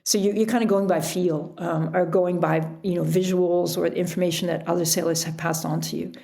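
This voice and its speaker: A woman talking casually as an interview guest, telling things in an animated storytelling way with illustrative asides. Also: fairly low pitch, brisk, vocal fry. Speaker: podcast guest, author and sailor.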